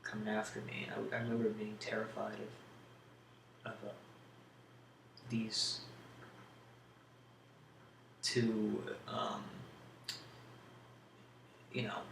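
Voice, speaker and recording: A man speaking in a casual ASMR question-and-answer style, rambling hesitantly in a low-key way. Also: slow pace, long pauses. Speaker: video creator answering tag questions. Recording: roomy echo, clear background noise.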